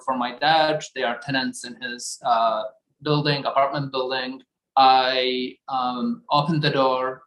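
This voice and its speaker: A man, earnestly recounting his own life story in a measured way. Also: mid-range voice, fairly bright tone. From Iraq. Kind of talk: personal testimony. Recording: roomy echo.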